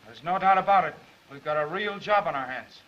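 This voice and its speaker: An actor playing a brash, defensive newspaper reporter in a 1930s film. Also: male voice, a little high, fairly quick, a little hiss or hum, close to an everyday voice. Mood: brisk, confident. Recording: muffled 1930s film soundtrack.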